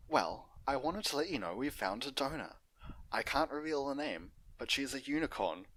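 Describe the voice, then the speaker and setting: A man voicing a polite female pony doctor from a story, speaking in a measured, formal, reassuring way. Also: mid-range voice, big pitch swings, a bit bright, pitched above the performer's own voice. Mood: professional, reassuring.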